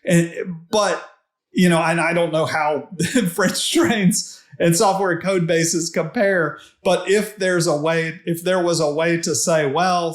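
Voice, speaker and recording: A man giving measured, reflective and analytical answers as a podcast guest, recorded in an echoey room. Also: fairly high pitch. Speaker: podcast guest, technology executive. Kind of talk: conversational interview answers. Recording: echoey, reverberant room sound.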